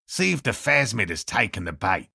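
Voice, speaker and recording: A man voicing an earnest, eccentric cryptozoologist in a video game, with a raspy voice and precise, courteous, scholarly phrasing. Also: lowish voice, big pitch swings, normal speed, sharp tone, no room sound, studio quality, exaggerated voice. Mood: polite, measured. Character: earnest eccentric male cryptozoologist scientist, obsessive but courteous. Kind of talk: video game dialogue voice lines.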